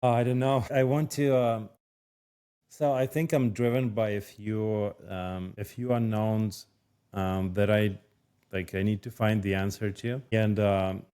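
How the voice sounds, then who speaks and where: Mellow low-voiced man musing aloud. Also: steady tone, a little slow, clear, resonant tone. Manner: musing, with many ums and likes. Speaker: podcast guest. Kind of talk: casual interview talk.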